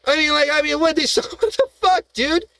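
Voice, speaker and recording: A man's voice: very high-pitched, normal speed, creaky, bright, forceful tone, slight background noise. A man hosting a live stream, ranting in a heated, profane, rambling way, full of restarts and rhetorical questions.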